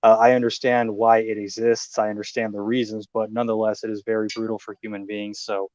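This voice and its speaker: An American man talks as a guest, measured and explanatory, pausing often. The sound is dull and a bit muffled. There is no room echo around him. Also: lowish voice, flat delivery, darkish tone. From United States. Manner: measured, explanatory, many uh fillers. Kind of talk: casual interview talk.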